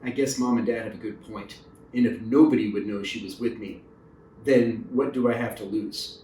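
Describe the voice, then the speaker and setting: A man's voice: fairly low pitch, steady tone, normal speed, dark tone, a little hiss or hum, voice pushed down, natural voice. A man voicing an anxious, shy third-grade boy in a plain, childlike way for a storybook, recorded roomy and distant. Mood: worried, doubtful. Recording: roomy echo, distant mic.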